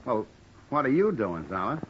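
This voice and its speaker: An actor playing a cynical small-time private detective in an old radio drama, casual and wisecracking. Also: male voice, mid-range voice, sing-song, normal speed, natural voice. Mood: needling. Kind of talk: 1940s-50s radio detective drama acting. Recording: muffled, noisy old radio broadcast recording.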